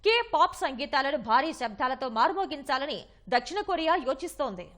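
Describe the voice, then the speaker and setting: A woman news anchor reads scripted headlines. Her voice is high, and she moves through the copy at a quick clip. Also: animated, a bit bright.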